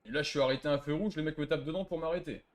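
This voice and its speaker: A man's voice: on the high side, very fast. A man arguing casually and in slang as a player in an online roleplay game. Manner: slangy, argumentative.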